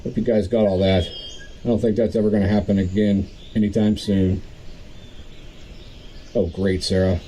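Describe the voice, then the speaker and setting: A man's voice: fairly low pitch, little pitch movement, normal speed, pauses often, warm tone, close to an everyday voice. A man playing a weary, grumbling companion in an audio comedy, muttering his complaints. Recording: faint outdoor noise with birdsong. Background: birdsong.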